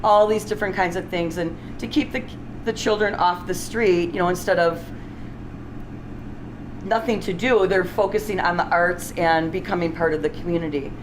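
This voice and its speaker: A woman's voice: on the low side, fairly even intonation, normal speed. A middle-aged woman hosting a cooking series in a casual, chatty, enthusiastic, unscripted way. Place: restaurant kitchen, indoors. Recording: clearly noisy kitchen ambience.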